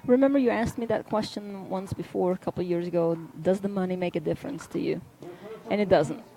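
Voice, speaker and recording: A woman's voice: fairly low pitch, fast talker, gravelly, warm tone, rough recording. A woman from Belgium co-commentating a live match, explaining and agreeing.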